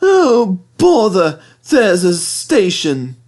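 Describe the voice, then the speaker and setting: A man voicing a boastful, excitable young tank engine in a storybook reading, exclaiming and repeating phrases emphatically. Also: high-pitched, very slow, pitched above the performer's own voice, heavily acted. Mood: dismayed, groaning.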